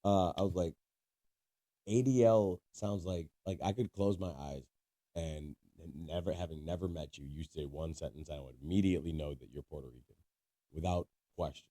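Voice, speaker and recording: A man's voice: low-pitched, steady tone, normal speed, mellow. A man co-hosting a casual podcast, teasing his friend, laughing often and explaining things at length. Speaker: podcast co-host. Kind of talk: casual chat.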